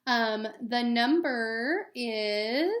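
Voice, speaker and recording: A woman, a teacher, reading a picture book aloud to children in an expressive storytelling way. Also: fairly high pitch, very slow. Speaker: elementary school teacher. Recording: echoey room sound.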